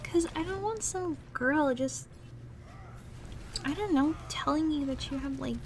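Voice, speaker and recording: A woman's voice: high-pitched, unhurried. A woman playing a jealous, pouty young gamer girlfriend in a casual, half-improvised roleplay audio. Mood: jealous, upset. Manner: pouty, grumbling, irritated. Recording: noisy room, clattering computer keyboard. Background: loud keyboard typing and background noise.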